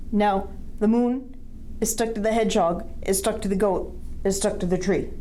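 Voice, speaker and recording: A woman's voice: mid-range voice, normal speed, dark tone, slight background noise. A woman reading a picture book aloud to young children, expressively, with questions and asides to her listeners.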